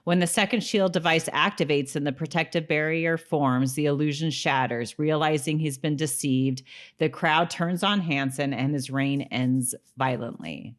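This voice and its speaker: Low-pitched American woman podcasting. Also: moderate pace. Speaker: podcast co-host. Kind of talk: casual podcast chat with some read summary.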